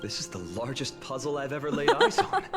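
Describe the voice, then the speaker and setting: Expressive young hero. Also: male voice, mid-range voice, fairly quick. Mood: curious. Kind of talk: video game character acting. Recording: game music behind the voice.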